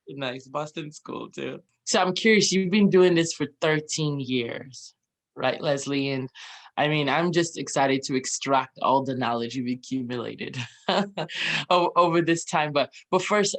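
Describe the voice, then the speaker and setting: A man's voice: fairly high pitch, a little slow, fairly bright tone. A man hosting an interview, chatty and informal, with many ums and "right?" tag questions. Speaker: host. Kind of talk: friendly interview introduction.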